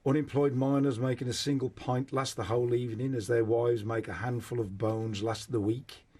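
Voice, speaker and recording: A man's voice: mid-range voice, flat delivery, normal speed, mellow. A man hosting a conversational radio discussion, speaking in an emphatic, exclamatory way. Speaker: radio host.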